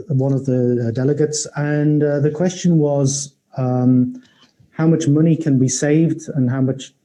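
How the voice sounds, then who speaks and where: A man from Liverpool presents with a Liverpool accent in a steady, storytelling way. His voice is dark and mellow and sits slightly high. He restarts a word now and then. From Liverpool, England. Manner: measured, storytelling, occasional restarts. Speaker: company presenter. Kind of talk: live-streamed business presentation.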